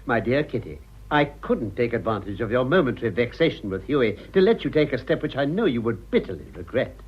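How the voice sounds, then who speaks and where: An actor playing an urbane, cynical older British gentleman in a radio drama, with dry, suave irony. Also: medium pitch, sing-song, fairly quick, slightly rough. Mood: unruffled. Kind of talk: radio drama acting of a stage comedy. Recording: dull lo-fi radio drama recording.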